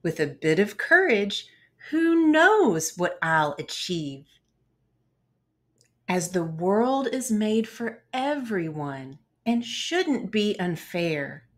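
A woman reads a children's picture book aloud at an unhurried pace. Her pitch moves expressively up and down, and she places deliberate weight on each rhyme. Music plays behind her voice. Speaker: host of children's read-aloud channel.

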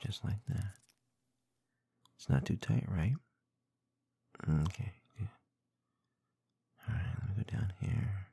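A man doing intimate ASMR roleplay into a close binaural microphone, murmuring in a husky, breathy, often whispered voice with a slow, teasing, dominant manner. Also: deep voice, dark tone. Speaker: ASMR audio performer.

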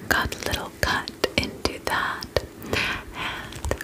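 A woman playing a gentle, soothing vampire spa attendant in an ASMR roleplay, speaking in a breathy whisper with soft, attentive reassurance. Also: takes it slowly, natural voice. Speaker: ASMR performer.

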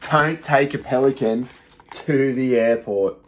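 A young male teacher reading a rhyming picture book aloud to young children, in a rhythmic, expressive way, seated in a small room and recorded on a phone close in front. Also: medium pitch, takes it slowly, poor audio. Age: young adult.

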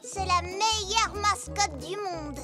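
A performer voicing an eager young girl zebra in an expressive, childlike way for a children's cartoon. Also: very high voice, takes it slowly, over loud music. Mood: excited.

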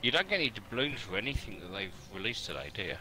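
A man's voice: fairly low pitch, big pitch swings, quick pace, bright, forceful tone. A man playing a co-op video game, talking casually with his crewmates as they play. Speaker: co-op game player. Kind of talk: casual gaming chat.

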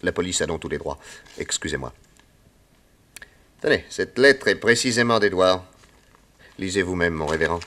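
A shrewd, wry radio-drama detective with a deep, low voice. He questions people firmly and chuckles dryly between points. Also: lively intonation, normal speed, pauses often, bright, forceful tone. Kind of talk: old French radio drama acting.

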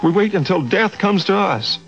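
A troubled, brooding husband in a radio play. He sounds earnest and preoccupied, with a lively rise and fall in pitch. The sound is slightly dull, with music behind. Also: moderate pace. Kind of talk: radio drama acting.

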